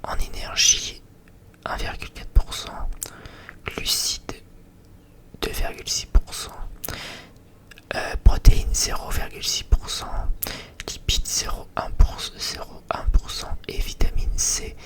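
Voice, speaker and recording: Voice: unhurried, pauses often. An ASMR creator chatting in a rambling, hesitant way, often repeating phrases. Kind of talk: whispered ASMR chit-chat.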